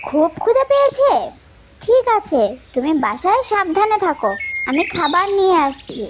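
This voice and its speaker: A woman's voice: extremely high pitch, very animated, normal speed. A woman voicing a loving, anxious mother bird in a cartoon, with a tender, plaintive way of speaking. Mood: caring. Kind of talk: cartoon voice acting.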